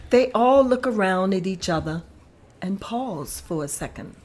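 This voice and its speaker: A woman reading stage directions aloud at a table read in a slow, measured way. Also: mid-range voice, animated, darkish tone.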